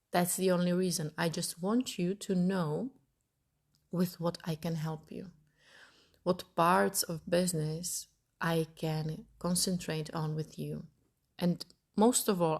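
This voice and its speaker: A woman's voice: low-pitched, creaky. A woman in her thirties from Italy, hosting her own podcast and thinking aloud as she searches for her words. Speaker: podcast host. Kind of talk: personal podcast monologue.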